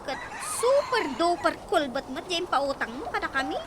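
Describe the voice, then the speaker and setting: A woman's voice: very high-pitched, sing-song, normal speed. A woman in an Ilocano radio comedy drama, chatty and quizzical. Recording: noisy background hiss.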